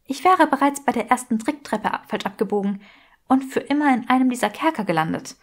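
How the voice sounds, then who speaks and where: A woman's voice: on the high side, lively intonation, brisk, pitched above the performer's own voice. A woman voicing an earnest, teasing young witch in a fantasy audiobook. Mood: earnest, admiring.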